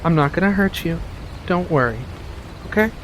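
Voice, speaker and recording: A man voicing a playful, coy female witch with faint menace in a soft-spoken ASMR roleplay, speaking in a coaxing, deliberate way with pauses. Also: a little high, animated, a little hiss or hum, voice pushed up, rough recording. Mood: teasing, reassuring.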